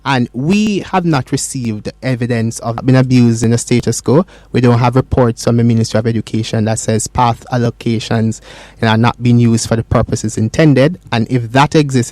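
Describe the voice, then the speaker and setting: A man's voice: mid-range voice, darkish tone, no echo. A man, a campaign spokesperson, answering interview questions in an earnest, explanatory way with frequent fillers. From Jamaica.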